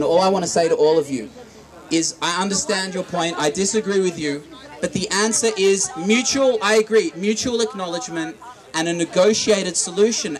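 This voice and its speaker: A man with an Australian accent in a heated but conciliatory street debate, insistent and often restarting his sentences. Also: high-pitched. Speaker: debater at a protest. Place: outdoors among a crowd. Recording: noisy crowd outdoors.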